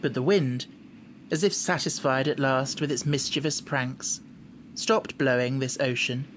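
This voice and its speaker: A man's voice: mid-range voice, slight background noise, rough recording. Guy reading a kids audiobook, storybook narration, scripted.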